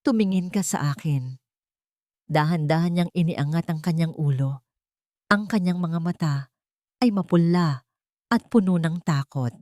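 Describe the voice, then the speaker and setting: A woman reads a dramatic first-person story. Her voice sits fairly low, and the recording is dry and close, with very little room sound. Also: moderate pace, long pauses, a little mellow, no room sound. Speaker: story narrator.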